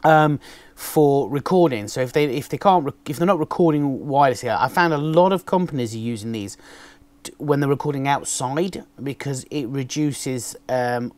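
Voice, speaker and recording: A man, casually chatting through an unboxing, with a naturally loud voice and a rambling way of talking full of ums. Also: medium pitch, normal speed. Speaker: motovlogger reviewing gear. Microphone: external microphone on a camera.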